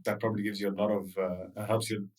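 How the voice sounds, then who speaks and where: A podcast host with a low male voice who puts questions to his guest casually and keeps probing for more. The mic picks him up from a little way off. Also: little pitch movement, quick pace, darkish tone, distant mic. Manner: curious, follows up with questions. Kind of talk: interview questions.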